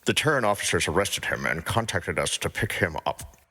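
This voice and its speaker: A man's voice: deep voice, expressive, fairly quick, pushed and bright, slightly rough, pitched below the performer's own voice, full character voice. A man playing a dry, fed-up space police officer in a fan fiction reading, in a matter-of-fact official manner.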